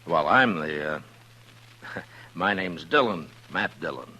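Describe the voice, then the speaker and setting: An actor playing a steady, protective frontier marshal in a 1950s radio western, with a measured, understated delivery. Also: male voice, sits low, heavily acted. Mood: guarded, courteous. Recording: noisy 1950s radio recording with hum.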